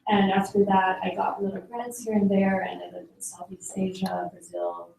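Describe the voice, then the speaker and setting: Young woman from Sweden, flat gravelly delivery, distant mic in an echoey hall. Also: medium pitch, moderate pace. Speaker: photographer. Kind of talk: personal talk about her career.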